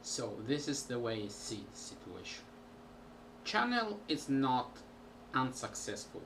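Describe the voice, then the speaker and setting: A man, a musician, talking casually to camera at home in a halting way with many pauses. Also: mid-range voice, sing-song, a bit bright. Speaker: musician vlogger. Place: small room at home. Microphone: built-in camera mic. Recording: distant home camera audio with background noise.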